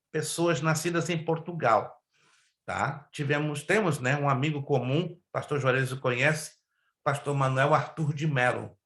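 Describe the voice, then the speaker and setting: A man's voice: medium pitch, fairly bright tone, echoey room. A man, a pastor raised in Brazil with Hebrew and Spanish as first languages, teaching a Bible class and often drawing out key words and asking the class questions. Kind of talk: online Bible class lecture.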